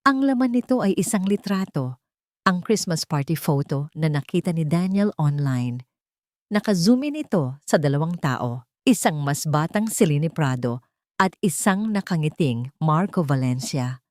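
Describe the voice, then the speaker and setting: Low-pitched woman narrating a dramatic story. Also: expressive, moderate pace, darkish tone, dry recording, clean studio sound.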